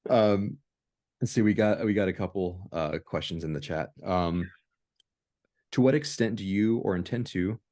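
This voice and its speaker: A man hosting a casual discussion, speaking in hesitant, halting phrases with many pauses. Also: low-pitched, a little mellow. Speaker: discussion host.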